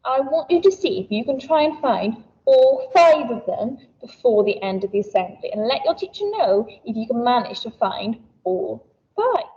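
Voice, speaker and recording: A woman's voice: on the high side, sing-song, moderate pace, warm tone. A woman teacher giving a recorded school assembly, cheerful and encouraging as she talks to children. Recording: dull lo-fi sound, a bit distant.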